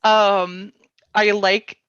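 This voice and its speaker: A woman's voice: mid-range voice, level tone, drawn-out words, a bit bright, clean tone, no room sound, lo-fi sound. A woman speaking off the cuff as the host of a casual panel, in an informal, joking and rambling way. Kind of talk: casual, unscripted panel chat.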